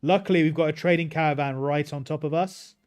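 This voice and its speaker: A man's voice: on the high side, fairly even intonation, fast, darkish tone. A British man casually commentating his gameplay on a livestream and chatting with his viewers. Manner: easygoing, chats with viewers while playing. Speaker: streamer.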